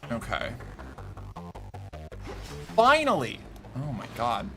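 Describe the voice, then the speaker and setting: A man streaming a video game, reacting out loud, cursing, and talking to himself as he plays. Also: mid-range voice, wildly swooping pitch, slow. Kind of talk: gameplay commentary. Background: game music.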